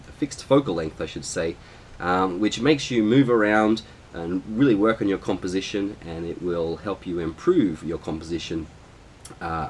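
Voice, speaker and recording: A man with a cold explaining camera lenses in a casual, relaxed tutorial, with plenty of ums and uhs. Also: low voice, slight background noise. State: nasal from a cold. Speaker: photography vlogger.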